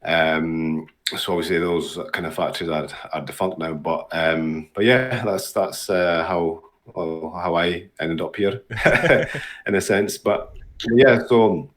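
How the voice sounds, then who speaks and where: A man's voice: low-pitched, takes it slowly, a bit bright. A man from Dundee with a Scottish accent, chatting casually as an interview guest, often repeating words hesitantly. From Dundee, Scotland. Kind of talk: casual chat.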